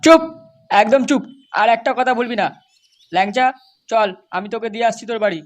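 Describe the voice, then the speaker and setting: A man's voice: very high-pitched, brisk, slight background noise. A man voicing a crude, quarrelsome village man in an adult comedy cartoon, brash, foul-mouthed and exaggerated. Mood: drunken bickering.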